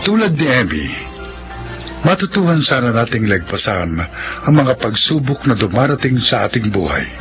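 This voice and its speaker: Male announcer for radio serial: scripted read, animated intonation, slightly muffled broadcast with faint music. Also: medium pitch, normal speed. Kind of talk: scripted narration and cast credits.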